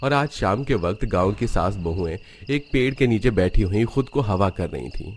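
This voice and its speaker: A man with a low voice narrates a cartoon story in a scripted storytelling style. There is some noise behind him, with insects chirping. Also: animated, moderate pace.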